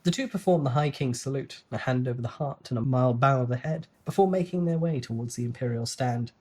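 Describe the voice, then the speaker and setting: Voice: mid-range voice, darkish tone, husky. A narrator giving a dramatic, scripted reading of a novel.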